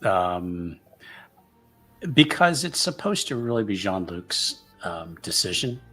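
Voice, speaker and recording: Deep, bright-edged man playing tabletop game. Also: big pitch swings, takes it slowly, soft background music. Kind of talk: casual game chat.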